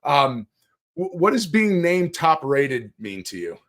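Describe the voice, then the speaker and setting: A man's voice: a little high, sing-song, slow, fairly bright tone. A man hosting a casual interview, upbeat and encouraging as he asks questions. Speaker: interview host.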